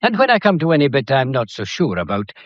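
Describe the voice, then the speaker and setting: An actor playing a shrewd, methodical police detective in a British period mystery drama, courteous and lecturing, with a faintly theatrical delivery. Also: male voice, mid-range voice, huge pitch swings, fast talker, no echo, dull audio. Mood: measured, lecturing. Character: shrewd, methodical male police detective laying out a murder case.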